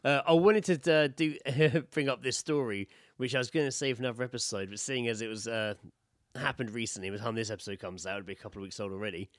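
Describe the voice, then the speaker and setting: A British man with a medium-pitched voice talks as a podcast co-host. He is casual and laddish, blunt and quick to swear, moving between banter and reading stories aloud. The sound is very dry. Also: dry recording.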